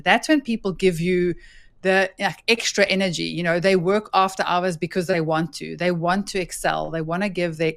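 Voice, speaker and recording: A woman hosting a conversation, speaking earnestly and encouragingly about authenticity in leadership. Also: on the low side. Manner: earnest, encouraging, agrees often with "mm". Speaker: host. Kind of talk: casual conversation.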